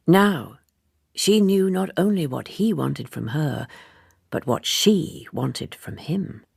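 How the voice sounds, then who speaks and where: A woman reading literary fiction as an audiobook narrator, in a measured, hushed and dramatic manner. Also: low-pitched, expressive, gravelly, breathy edge, no echo, clean studio sound.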